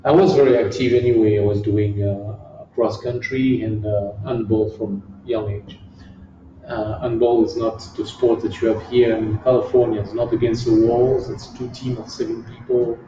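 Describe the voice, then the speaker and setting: A man's voice: on the low side, flat delivery. A middle-aged man from southern France with a French accent, answering interview questions casually and stopping often to find English words. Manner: halting, searching for English words. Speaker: guest, kickboxing champion. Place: gym. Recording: echoey room, distant muffled mic, faint hiss.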